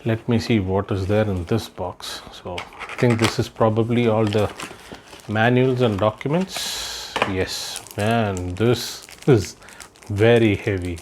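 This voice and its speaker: A man's voice: lowish voice, takes it slowly, noisy background. A man doing a casual unboxing vlog indoors, thinking aloud with lots of fillers as he handles the product. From India. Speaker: unboxing vlogger. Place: indoors, room with patterned floor rug.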